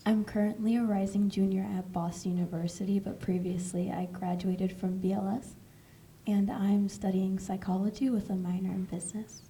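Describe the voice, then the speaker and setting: A twenty-year-old woman introduces herself in a soft-spoken, even way. Her tone is very dark and mellow, and her pitch stays flat. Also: normal speed, slight background noise. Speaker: panelist. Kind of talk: self-introduction.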